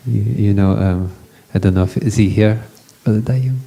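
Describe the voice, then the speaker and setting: A man's voice: lowish voice, normal speed, gravelly, mellow, slightly rough. A middle-aged Western man introducing a guest to a retreat gathering, hesitant, with many fillers and restarts. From Western country. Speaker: retreat panel moderator.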